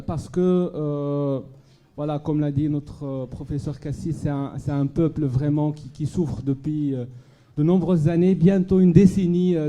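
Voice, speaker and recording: Man from Morocco, public charity speech, hesitant with many fillers, warm dark timbre. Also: fairly high pitch. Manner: hesitant, many fillers and restarts, audience questions. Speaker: charity speaker at a fundraising gala. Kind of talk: public speech to a live audience. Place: banquet hall at a gala dinner.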